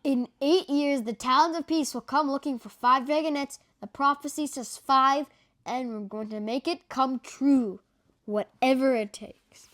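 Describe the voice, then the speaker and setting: Boy playing earnest male sea dragon, high-pitched. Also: fairly even intonation, measured pace, fairly bright tone. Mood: urgent, determined. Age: child. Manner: hissing, grim, insistent. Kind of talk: bedtime storybook read-aloud.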